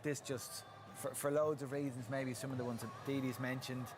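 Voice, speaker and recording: A man working as a football pundit, giving measured match analysis. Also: medium pitch, level tone. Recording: clear outdoor stadium noise behind.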